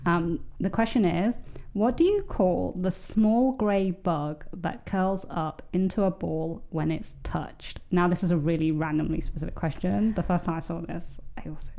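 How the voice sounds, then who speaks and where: A woman's voice: lowish voice, normal speed, creaky, warm tone, muffled recording. A young woman with a British accent co-hosting a podcast, chatting casually and explaining at length with plenty of fillers. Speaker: podcast co-host. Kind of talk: casual chat.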